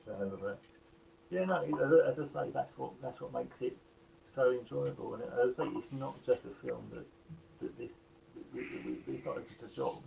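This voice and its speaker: Croaky man with gravelly fry. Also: medium pitch, background noise. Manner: halting, many restarts. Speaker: guest actor. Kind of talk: casual live chat.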